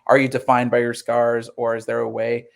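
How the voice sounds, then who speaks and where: A man's voice: mid-range voice, monotone, a little fast, creaky. Earnest man telling his story in an interview. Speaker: interview guest, comic book writer.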